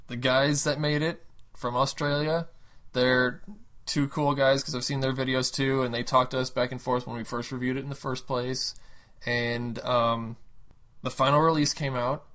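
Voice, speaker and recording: A man's voice: medium pitch, steady tone, normal speed, fairly bright tone. A man co-hosting a casual video game show, telling stories and teasing his co-host playfully. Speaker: co-host reviewing video games. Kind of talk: casual banter.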